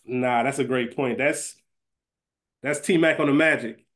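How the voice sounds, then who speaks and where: A man's voice: mid-range voice, measured pace, fairly bright tone. A man co-hosting a podcast, chatting casually and slangily and repeating phrases for emphasis. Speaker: podcast co-host. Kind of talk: casual fantasy-draft banter.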